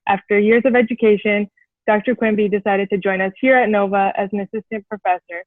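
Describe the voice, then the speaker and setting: A woman's voice: mid-range voice, fairly even intonation, a little fast, no room sound. A woman hosting an interview, reading an introduction and then asking loose, friendly questions. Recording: dry, tinny phone-like call audio.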